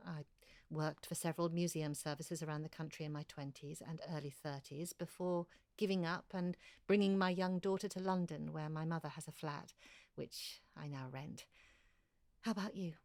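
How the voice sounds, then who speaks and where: An actress playing Hilary, a thirty-nine-year-old former museum curator and single mother who speaks in a proper, florid, brisk way. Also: low voice, gravelly, a little mellow, no echo. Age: 30s. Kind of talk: audio drama acting, epistolary.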